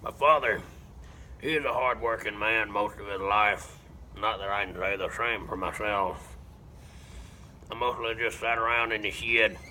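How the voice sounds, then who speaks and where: A man playing a slow, simple-minded rural Southern man in a dramatic monologue, deadpan and halting, with grunted hums between phrases. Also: fairly low pitch, slight background noise, low-quality recording. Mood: flat, matter-of-fact. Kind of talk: dramatic film monologue rendition.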